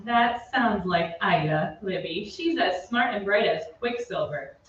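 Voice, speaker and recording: An actress playing an earnest, upbeat 1940s radio soap announcer with emphatic sales patter, read on stage. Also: female voice, medium pitch, lively intonation, unhurried, a bit bright. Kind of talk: 1940s radio commercial re-enactment. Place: theatre stage, away from the mic. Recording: very echoey stage, distant, dull, faint noise.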